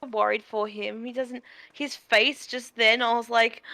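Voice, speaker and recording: A woman's voice: fairly high pitch, little pitch movement, a little slow, sharp tone. A woman co-streaming a video game, chatting casually as she plays and reacts. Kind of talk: casual chat while gaming. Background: game music.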